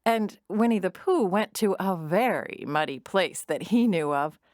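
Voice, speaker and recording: A woman narrating a children's storybook drama in an expressive, deliberate storytelling manner. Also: medium pitch, no echo, clean studio sound.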